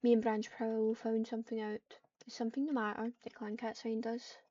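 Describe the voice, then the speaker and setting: A woman reading roleplay lines aloud as she plays, in a flat, subdued way. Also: a little high, fairly quick. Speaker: online roleplayer. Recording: muffled, distant mic with keyboard typing.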